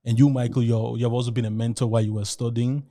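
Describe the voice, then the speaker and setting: Male podcast host talking fast, asks questions, keeps the chat moving. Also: mid-range voice, flat delivery, dark tone. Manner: inquisitive, steers the conversation. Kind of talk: casual chat.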